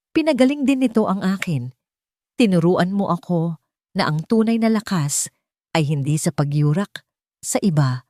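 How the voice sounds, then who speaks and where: A woman's voice: low-pitched, dark tone, no echo, studio quality. A woman voicing a humble, remorseful woman's letter of apology in an audio story.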